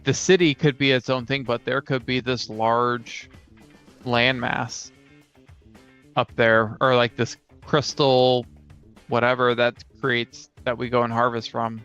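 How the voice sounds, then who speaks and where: A man's voice: mid-range voice, level tone, slow, long pauses, a bit bright, smooth. A man chatting casually as a co-host and player, building ideas thoughtfully step by step. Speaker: co-host and player on a tabletop gaming show. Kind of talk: casual brainstorming chat. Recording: slightly dull recording with music behind.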